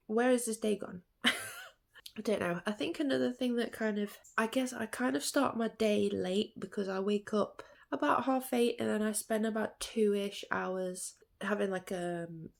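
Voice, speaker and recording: Stuffy-nosed woman, gravelly. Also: mid-range voice. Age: late 20s. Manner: rambling, thinking aloud with fillers and self-corrections. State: stuffy-nosed from hay fever. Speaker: artist vlogger. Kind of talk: casual reflective vlog chat.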